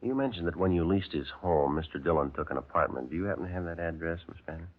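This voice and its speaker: An actor playing a terse police sergeant who also narrates, speaking in a flat, clipped, deadpan way. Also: male voice, low voice, quick pace, slightly rough. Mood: flat, businesslike. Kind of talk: 1950s radio drama acting. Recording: tinny 1950s radio broadcast.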